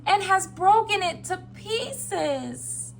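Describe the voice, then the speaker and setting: Lady doing a wee little baby bear boy, high and plaintive, storybook style, slight noise. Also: expressive, extremely slow, creaky, full character voice, rough recording. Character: tiny young boy bear, plaintive and excitable.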